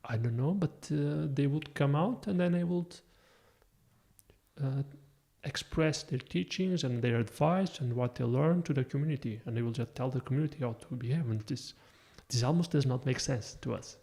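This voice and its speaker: A man's voice: medium pitch, normal speed, vocal fry, dark tone. A man hosting a podcast, musing at length and correcting himself as he goes, in casual interview talk. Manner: musing, rambling, self-correcting. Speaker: podcast host.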